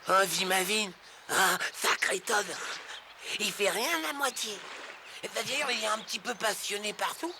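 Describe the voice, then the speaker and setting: An actor voicing a breezy, bossy but kindly male river rat in an animated film dub, chummy and exclamatory. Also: mid-range voice, a little slow, noisy background, close to an everyday voice. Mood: chatty. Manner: exclamatory, chummy, self-assured. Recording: loud music behind the voice.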